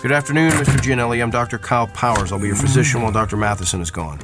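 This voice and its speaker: An actor playing an arrogant, impatient doctor in a radio drama, with a curt, defensive manner. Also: male voice, mid-range voice, very fast, loud music behind the voice, natural voice. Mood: businesslike.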